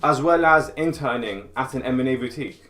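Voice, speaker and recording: A man's voice: medium pitch, brisk, fairly bright tone. A man giving direct, advisory career coaching in a scripted instructional video. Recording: roomy sound with faint music.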